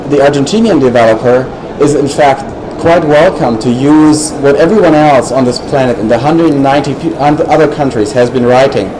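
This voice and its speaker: Male panelist, measured reply, many fillers, clear background hiss. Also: medium pitch. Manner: measured, frequent "you know" and "um" fillers. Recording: noisy recording.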